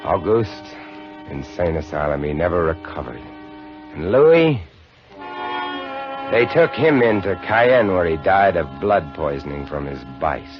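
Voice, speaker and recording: A haunted lighthouse keeper. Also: male voice, sits low, big pitch swings, a little slow, pauses often. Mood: wry, uneasy. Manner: hushed, dramatic storytelling with sudden swells. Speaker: lead actor narrating in first person. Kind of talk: 1950s radio drama narration. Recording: lo-fi old radio broadcast with music.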